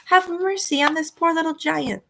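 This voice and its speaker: A woman's voice: lively intonation, moderate pace, vocal fry, fairly bright tone, slight background noise. A woman voicing a huge male giant who screeches and begs in terror during a nightmare, in a storybook reading. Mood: pleading. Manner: shrill, pleading, frantic.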